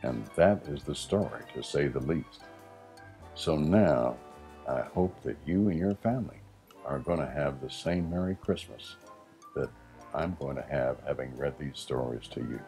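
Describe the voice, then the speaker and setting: A man reading a rhyming children's story as an expressive, dramatic narrator with deliberate phrasing. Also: very deep voice, soft background music. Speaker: storybook reader.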